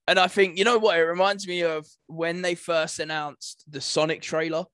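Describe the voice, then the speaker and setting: Higher-pitched man chatting, dry close sound. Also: steady tone, moderate pace, a bit bright, clear, resonant tone, dry recording. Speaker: podcast co-host. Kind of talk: casual chat.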